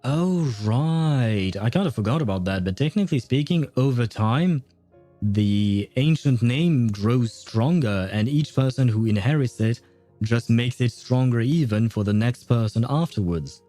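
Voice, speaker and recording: Man casually commentating a game stream, soft music behind. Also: mid-range voice, animated, unhurried. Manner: hesitant, searching for words. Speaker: game livestreamer.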